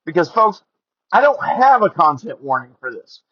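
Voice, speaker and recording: A man with a slightly high voice hosts a casual podcast. He talks informally and haltingly, repeating words as he goes. The sound is close and dry, recorded in a car. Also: big pitch swings, dry recording, dull audio. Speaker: podcast host. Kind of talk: casual solo podcast monologue and review. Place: inside a car during rain. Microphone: headset or earphone mic.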